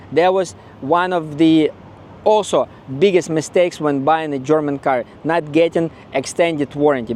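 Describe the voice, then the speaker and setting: A man's voice: a little high, a little mellow, a little hiss or hum. A man with a Ukrainian accent talking casually in a vlog, blunt and regretful, often repeating himself. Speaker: car owner vlogger.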